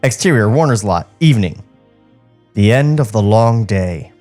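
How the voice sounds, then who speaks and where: A man's voice: lowish voice, animated, moderate pace, darkish tone. A man reading screenplay stage directions as a podcast host, with music behind him. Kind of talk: screenplay narration with joking asides.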